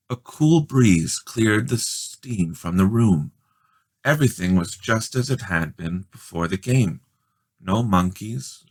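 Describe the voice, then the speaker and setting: A man reading a children's storybook aloud as a dramatic, expressive narrator. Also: low-pitched. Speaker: storybook reader.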